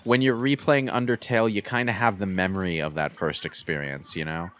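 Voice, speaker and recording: A man giving casual game commentary in conversation, with halting delivery and repeated starts. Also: low-pitched, steady tone, fairly bright tone.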